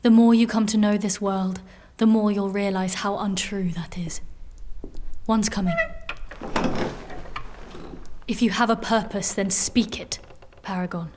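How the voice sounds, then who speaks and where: Casting: actress as steely, protective fighter woman, mid-pitched, terse, intense, dark fantasy audio drama. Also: steady tone, normal speed, a little hiss or hum, lightly acted. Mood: guarded, somber. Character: fierce, guarded warrior woman, dangerous and protective.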